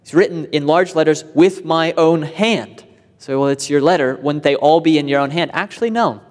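A man's voice: a little high, normal speed. A man preaching an expository sermon, explaining in an earnest way and rising in emphasis on key points. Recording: long hall reverb.

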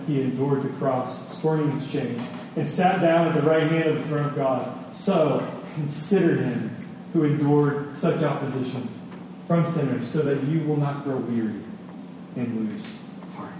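A man giving a sermon in a conversational way, telling personal stories with emphatic repetition and pauses. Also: mid-range voice, fairly even intonation. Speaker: preacher. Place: large reverberant room with an audience. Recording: echoey hall, distant, faint noise.

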